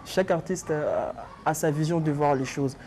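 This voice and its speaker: A man's voice: fairly high pitch, moderate pace, darkish tone, slight background noise. A young man from Congo with a Congolese French accent, a guest musician speaking in a tired, hesitant way full of fillers. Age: young adult.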